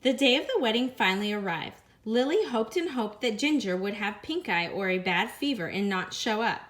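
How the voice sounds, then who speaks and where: A woman teacher, reading a picture book aloud to her students in an expressive way, slowing down on repeated phrases and lifting her voice for the characters' lines. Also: mid-range voice. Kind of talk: read-aloud storytelling.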